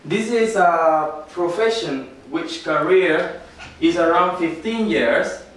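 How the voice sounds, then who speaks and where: A man from Ecuador with a Spanish accent gives an informal classroom presentation in halting, non-native English, often checking with a short tag question. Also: a little high, a bit bright. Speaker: student presenter, football coach. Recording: very echoey room, distant mic, noisy.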